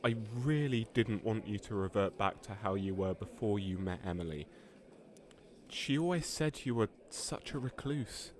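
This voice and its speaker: A man's voice: fairly low pitch, expressive, normal speed, a little mellow, natural voice. A man playing a supportive, concerned friend in an audio drama, speaking in an informal, matey way. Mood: caring.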